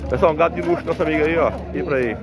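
A man's voice: on the high side, very fast. A man, a farmer vlogging a casual walkthrough at an outdoor cattle market, filling his talk with tag questions. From Northeast Brazil. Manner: chatty, fills with "né" and "pessoal". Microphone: handheld phone camera. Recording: outdoor noise of cattle and vehicles.

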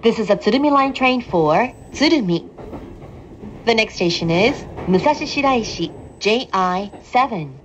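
Calm woman announcing train stops really slowly, train noise behind. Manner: calm, formal, deliberate enunciation. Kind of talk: scripted in-car station announcement. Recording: dull train PA with clear rumble. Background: train running noise.

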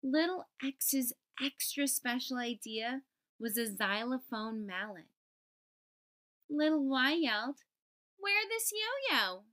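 A woman hosting storytime, reading a children's picture book aloud and enunciating deliberately for young listeners. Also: fairly high pitch, fairly bright tone. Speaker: storytime host.

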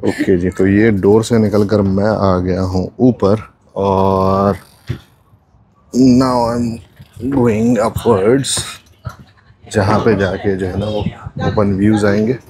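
A man's voice: lowish voice, steady tone, takes it slowly, pauses often, a crowd in the background, rough recording. Chatty male vlogger on a boat deck. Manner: chatty, mixes English phrases, repeats "जो है ना". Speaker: travel vlogger. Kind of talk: casual walkthrough vlog. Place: outdoors on a cruise boat deck.

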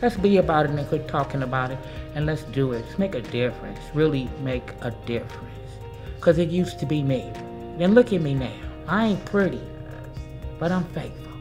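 A woman's voice: low-pitched, lively intonation, moderate pace. An older American woman giving emphatic, plain-spoken personal testimony as a church volunteer. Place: indoor church sanctuary, seated interview. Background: music.

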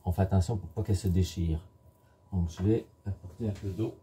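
A middle-aged man giving a step-by-step cooking demonstration in a home kitchen, explaining in short, halting instructional phrases. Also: low voice, fairly even intonation, dark tone, slight background noise. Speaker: home baker presenting a recipe.

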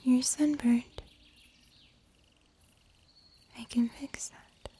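A young woman playing a gentle mystical healer in an ASMR fantasy roleplay, whispering slowly, breathily and tenderly. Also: sits high, steady tone, slightly rough, exaggerated voice. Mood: soothing, reassuring. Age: young adult. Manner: slow, soothing, tender. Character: gentle mystical female healer comforting a weary wanderer. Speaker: ASMR performer.

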